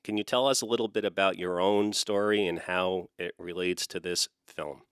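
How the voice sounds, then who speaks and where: A man hosting a film podcast, reading a scripted introduction and then asking measured questions. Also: sits low, fairly even intonation, smooth, no echo, clean studio sound. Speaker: podcast host.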